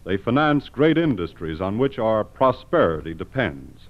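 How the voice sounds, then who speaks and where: A man announcing for a 1940s radio programme and its sponsor in a formal, deliberate, scripted style. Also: fairly low pitch, sing-song. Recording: muffled vintage radio broadcast.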